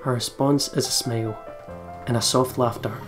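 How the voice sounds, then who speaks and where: A man's voice: medium pitch, steady tone, moderate pace. A man reading the narration of a visual novel aloud as a let's play host. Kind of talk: scripted narration read aloud. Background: game music with guitar.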